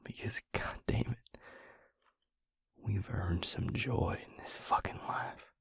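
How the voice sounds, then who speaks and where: A hushed, very breathy male vampire played by a man speaks to a lover with a guarded but tender heart. The voice crackles low in the throat, close and dry on the mic. The delivery is halting, plain-spoken and earnest, as in a romantic audio roleplay. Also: no echo, heavily acted. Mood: earnest, longing. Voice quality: breathy, gravelly near-whisper. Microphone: close microphone in a dry room.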